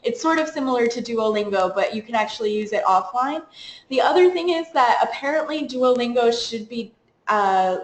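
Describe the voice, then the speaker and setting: A quick, chatty woman from the United States runs through practical advice for students in a webinar. Her voice sits a little high and rings in an echoey room. A faint hiss hangs under the call. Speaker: program coordinator. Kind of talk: webinar presentation.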